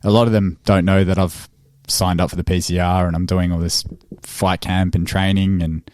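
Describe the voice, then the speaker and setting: A man from Zimbabwe, an amateur boxer, chatting casually in an interview, relaxed and quick to laugh. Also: low-pitched, dry recording, studio quality. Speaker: amateur boxer in charity fight.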